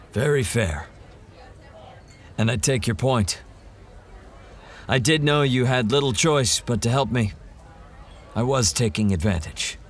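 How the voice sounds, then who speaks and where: A man's voice: mid-range voice, fairly bright tone. An actor playing a hardened male monster hunter in an audio drama, blunt and earnest. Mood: conceding.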